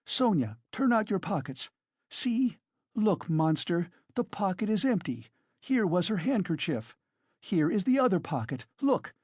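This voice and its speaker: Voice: medium pitch, big pitch swings, measured pace, hardly any pauses, no echo, clean studio sound, pitched above the performer's own voice. A reader voicing a frantic, defiant widow who shrieks and accuses in hysterical fury, as an audiobook character.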